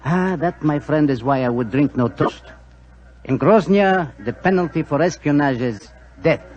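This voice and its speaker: An actor playing a solemn, formal police minister in a 1940s radio drama. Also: male voice, a little high, normal speed, lo-fi sound, lightly acted. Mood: grave.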